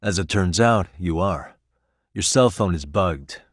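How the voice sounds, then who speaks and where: A man playing a shrewd, level-headed private detective in his thirties for a video game, speaking in a measured, matter-of-fact, determined way. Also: low voice, expressive, dry recording, studio quality. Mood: matter-of-fact, serious. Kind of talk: English video game voice acting.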